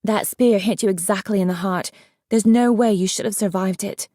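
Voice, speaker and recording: A woman's voice: mid-range voice, normal speed, dry recording, studio quality, lightly acted. A woman voicing an intense young female dragon in an audiobook, outspoken, demanding and exasperated. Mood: accusing. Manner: forceful, accusing.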